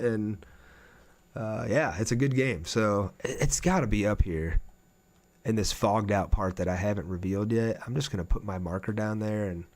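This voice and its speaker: A man streaming a video game, chatting casually and conversationally with his viewers as he plays. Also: lowish voice. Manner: conversational, reads viewer comments aloud and answers them. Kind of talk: casual livestream chat while playing a game.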